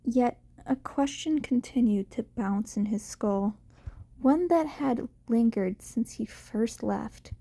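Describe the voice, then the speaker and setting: A woman's voice: a little high, slow pace, dark tone. A woman reading fiction aloud as an amateur, in scripted story narration.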